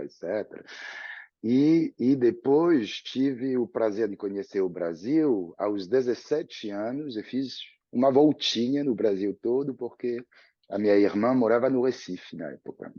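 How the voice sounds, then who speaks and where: A man's voice: on the low side, mellow, dry recording, dull audio. A French man in his fifties, a guest telling his story in a reflective, conversational way with frequent restarts. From France. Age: 50s. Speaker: interview guest, professor. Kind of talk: relaxed conversational interview.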